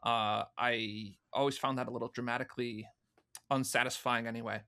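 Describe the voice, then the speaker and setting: A man with a distinctly bright voice answers as a guest in a conversational interview. He explains at length and with enthusiasm, sitting at an average male pitch. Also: fairly even intonation, normal speed, clean tone. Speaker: guest, fan audio drama producer.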